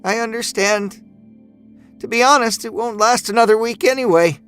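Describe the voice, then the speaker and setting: A man's voice: sits high, normal speed, fairly bright tone, clean tone, soft background music, voice pushed up. A man voicing an anxious, grieving older mother in a horror audio story, pleading and fretful. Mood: resigned. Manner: pleading, fretful, self-effacing.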